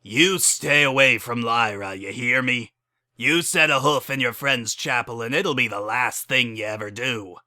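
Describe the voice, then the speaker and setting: A menacing young stallion played by a man in a dramatic story reading. He speaks in a forceful, middle-pitched voice, blunt and threatening, leaning slowly on his words. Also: dry recording, clean studio sound. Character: menacing young male pony thug.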